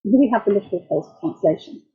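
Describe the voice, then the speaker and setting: A middle-aged woman lecturing as a translator, explaining jokes in a wry, measured way. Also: medium pitch, animated, slightly rough, phone-quality sound. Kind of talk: academic talk.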